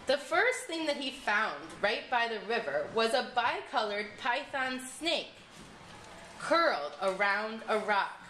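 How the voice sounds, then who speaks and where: Animated woman storyteller in an echoey room. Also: fairly high pitch, slow. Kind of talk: scripted storybook narration. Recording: echoey room with faint noise.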